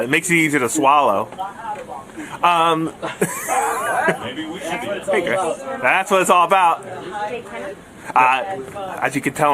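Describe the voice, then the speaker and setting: Male staffer, mid-pitched, halting and jokey interview delivery, busy room behind. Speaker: show staff member being interviewed. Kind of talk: casual joking interview answers. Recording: noisy room with crowd chatter.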